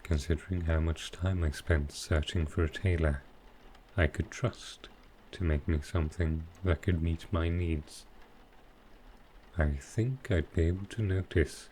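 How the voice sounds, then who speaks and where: Guy doing a super deep mellow villain for asmr roleplay, dry humour, sly and coaxing, some background noise. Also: fairly even intonation. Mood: wry, pointed. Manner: unhurried, halting delivery with pauses, dry understated humour. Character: infamous male supervillain customer, sly, dryly amused, coaxing. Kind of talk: roleplay ASMR, soft close acting.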